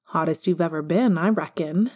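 A woman's voice: low-pitched, moderate pace, a little mellow. A woman voicing a bossy, affectionate, teasing young woman friend in an audiobook. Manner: teasing, insistent. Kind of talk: audiobook fan fiction character dialogue.

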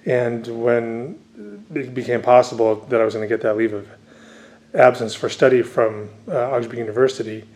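Man, grew up speaking Dutch, scholar, author, interview answers, hesitant, frequent fillers, mid pitch. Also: level tone, moderate pace, a little mellow. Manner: hesitant, many fillers and restarts.

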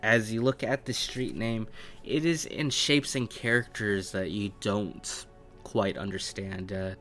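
Slow, low-pitched man running a tabletop game. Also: fairly even intonation, fairly bright tone. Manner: halting, piecing descriptions together phrase by phrase. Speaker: game master. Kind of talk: improvised narration of a tabletop roleplaying game. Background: background music.

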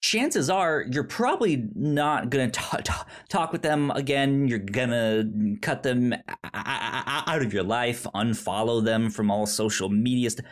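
A man co-hosting a podcast in casual film chat, halting and often repeating the starts of his words. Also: medium pitch. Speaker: podcast co-host.

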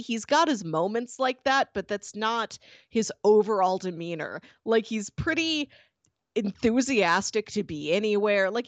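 A woman's voice: mid-range voice, dry recording. A woman hosting a podcast, chatting casually and enthusiastically as she reviews a TV show. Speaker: podcast host.

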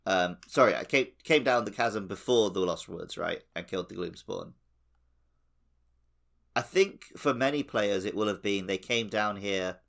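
A man's voice: deep voice, gravelly, fairly bright tone. A man co-hosting a podcast, chatting casually about games. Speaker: podcast co-host. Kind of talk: casual video game discussion.

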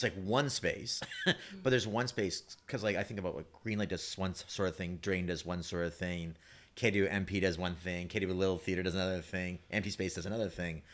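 A man chatting casually as a playwright guest on a panel, telling stories in an animated, emphatic way. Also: deep voice.

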